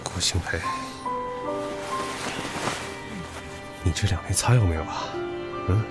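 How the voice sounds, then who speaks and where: An actor playing a brash, hot-tempered young subordinate who taunts crudely in an audio drama. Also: male voice, deep voice, brisk, music in the background, lightly acted. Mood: menacing, pushy. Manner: mocking, crude, provocative. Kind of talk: modern audio drama acting.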